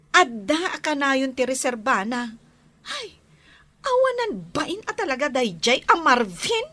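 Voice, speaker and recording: A woman's voice: sits high, sharp tone, heavily acted. An actress with an Ilocano accent playing a chatty, blunt woman friend who gives advice in a radio drama. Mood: indignant gossip.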